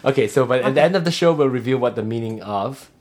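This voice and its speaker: A woman's voice: very deep voice, lively intonation, quick pace, background noise. A woman hosting a casual podcast chat, teasing her co-host, laughing often and steering the talk.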